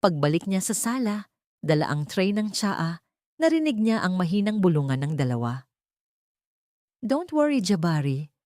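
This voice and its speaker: A woman's voice: on the low side, sing-song, moderate pace, a little mellow, no echo, studio quality. A woman narrating an audio story with a solemn, dramatic delivery.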